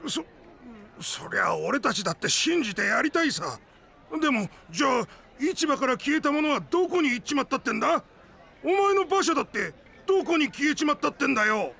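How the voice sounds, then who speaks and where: A male actor playing a gruff, rough-spoken market townsman in an anime audio drama, blunt and excitable, with a raspy, gravelly voice. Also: very high voice, fairly quick, some chatter behind, heavily acted. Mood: defensive. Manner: blunt, rough colloquial speech.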